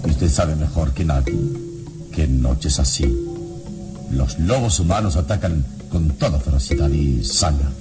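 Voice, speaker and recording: An actor in a radio drama playing a solemn, relentless and eloquent heroic investigator who questions in formal, theatrical diction. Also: male voice, very deep voice, measured pace, over loud music. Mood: probing, stern. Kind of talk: classic Latin American radio drama acting.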